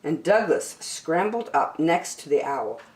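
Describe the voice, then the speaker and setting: A woman reading a children's storybook aloud as storyteller, expressive and emphatic, drawing out key words. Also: deep voice, a little slow. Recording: echoey room.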